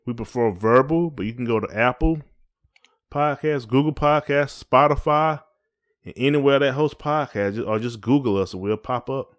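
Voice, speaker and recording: A man's voice: mid-range voice, moderate pace. A man co-hosting a casual podcast, talking in a folksy, rambling way and repeating his phrases. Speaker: podcast co-host. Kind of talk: casual conversational podcast chat.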